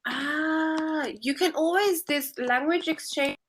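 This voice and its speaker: Bright-voiced South African woman tutor. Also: high-pitched, slow pace. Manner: friendly, chatty, explains patiently. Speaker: online English tutor. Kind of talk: casual video-call conversation.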